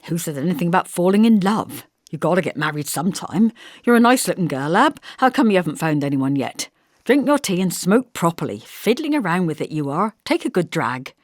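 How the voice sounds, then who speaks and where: A woman's voice: on the low side, big pitch swings, a little fast, dry recording, studio quality. A woman voicing a blunt, bossy young working-class man in an audiobook, brusque and insistent, with colloquial turns of phrase. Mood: lecturing. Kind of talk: audiobook character dialogue.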